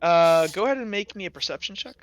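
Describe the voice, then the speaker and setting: High-voiced man running a tabletop game. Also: expressive, quick pace, crowd noise. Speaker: game master. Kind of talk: casual improvised tabletop game narration.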